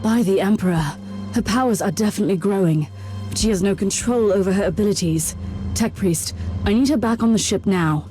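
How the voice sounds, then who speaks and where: Woman acting stern commanding Inquisitor over loud music. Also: medium pitch, brisk, lightly acted. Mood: urgent command. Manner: commanding, formal, resolute. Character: stern commanding female Inquisitor of a grim space empire. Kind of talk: video game cutscene acting.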